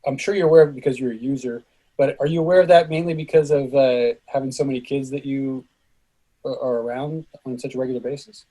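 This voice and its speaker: A man's voice: medium pitch, fairly even intonation, fast, mellow, low-quality recording. A man moderating a casual group discussion over a video call.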